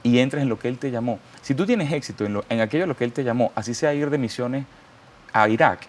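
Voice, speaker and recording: A man's voice: mid-range voice, animated, fast talker. A man with a Venezuelan accent, a guest giving a fervent, preacherly testimony and quoting scripture. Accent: Venezuelan Spanish. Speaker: interview guest. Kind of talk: testimony interview.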